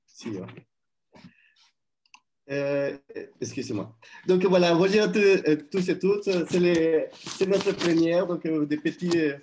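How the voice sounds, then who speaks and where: A man from Mexico with a Mexican accent, co-hosting a webinar and presenting in a friendly, slightly halting way over a video call. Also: on the high side, background noise. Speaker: webinar co-host.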